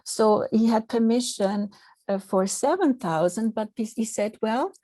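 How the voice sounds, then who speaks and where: A woman from Switzerland with a Swiss accent, giving a measured lecture over slides with restarts and fillers. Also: fairly high pitch, warm tone, no room sound. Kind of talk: presentation with slides.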